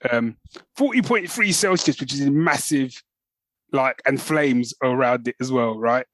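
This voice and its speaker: A man's voice: mid-range voice, measured pace, fairly bright tone, dry recording. A middle-aged man, co-hosting a podcast in casual, matey, jokey banter. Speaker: podcast co-host.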